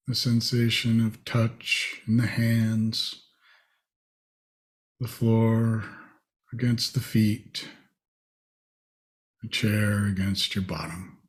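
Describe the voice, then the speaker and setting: A man leading a guided meditation, speaking in short, spacious phrases with long pauses. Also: on the low side.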